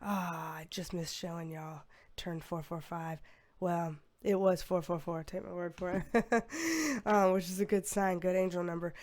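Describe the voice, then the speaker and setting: Hoarse woman reading tarot. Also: on the low side, fairly even intonation, unhurried, a little mellow. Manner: rambling, filler-heavy, with sighs. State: losing her voice, a bit hoarse. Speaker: tarot reader. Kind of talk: casual psychic reading to camera.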